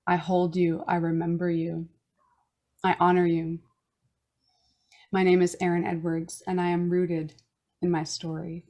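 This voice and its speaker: A woman from Newfoundland hosting a wellness podcast, reading a reflective personal statement in a slow, earnest way. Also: fairly low pitch, completely flat delivery, dark tone, dull audio. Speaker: podcast host. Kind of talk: reading a personal land acknowledgment and intro.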